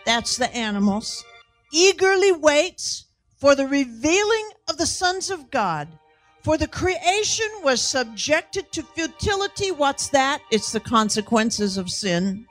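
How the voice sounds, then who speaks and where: A woman in her sixties preaching a sermon emphatically and deliberately, in short phrases with pauses. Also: high voice, big pitch swings, a bit bright, faint music behind. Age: 60s.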